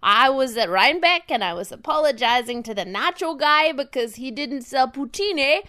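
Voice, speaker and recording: A woman's voice: sits high, lively intonation, moderate pace, pushed and bright. A woman voicing a meek, rambling Canadian man who knits and loves hockey, apologizing often and tagging phrases with "eh". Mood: eager, apologetic.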